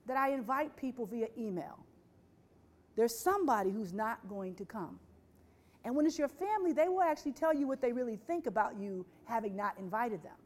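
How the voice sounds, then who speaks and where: Woman presenter, high voice, rhetorical and repetitive, quizzes the audience. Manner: rhetorical, repeats phrases, asks the audience questions; voices quoted people with lively shifts. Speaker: speaker on workplace diversity.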